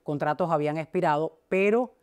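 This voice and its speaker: A woman's voice: low-pitched, a little fast, darkish tone. A woman speaking as the host of a broadcast interview.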